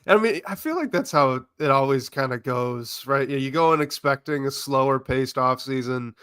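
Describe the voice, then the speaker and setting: A man hosting a casual sports podcast, upbeat and rambling, his voice gravelly from being sick. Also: medium pitch, moderate pace, a bit bright, no echo, studio quality. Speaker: podcast host.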